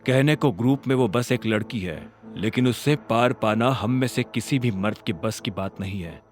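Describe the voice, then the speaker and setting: A man voicing a tough, stubborn gang member who speaks gravely and with measure in an audio drama. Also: fairly low pitch, moderate pace, faint music behind, pitched below the performer's own voice, close to an everyday voice. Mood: awed, intense.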